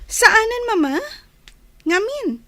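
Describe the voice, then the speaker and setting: A woman's voice: very high voice, sing-song, takes it slowly, fairly bright tone, no echo, heavily acted. A woman acting a possessive, haughty young wife with a confrontational manner in an audio drama. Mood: possessive, irritated. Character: Felina, possessive, territorial young wife, haughty and sharp-tongued.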